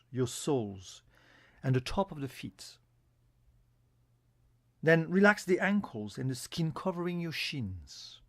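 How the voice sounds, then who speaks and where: Calm man guiding relaxation, dry close sound. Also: a little high, dry recording. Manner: calm, soothing, instructional delivery. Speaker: yoga nidra meditation instructor. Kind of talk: guided relaxation meditation.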